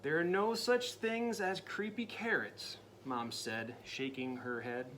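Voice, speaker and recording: Guy doing a spooky storybook read-aloud for a kid, bright voice, goes whispery then loud, real playful. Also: a little high, steady tone, takes it slowly, a little hiss or hum. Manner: dramatic, drops to spooky whispers and jumps to loud cries; playful asides to the listening child. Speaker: parent reading a picture book aloud to a child.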